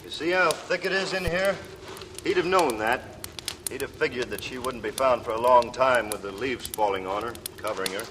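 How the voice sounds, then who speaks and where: An actor playing a weary, sardonic police detective, blunt and dry, in 1940s radio drama style. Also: male voice, mid-range voice, moderate pace, natural voice. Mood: grim. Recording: reverberant, noisy old radio recording.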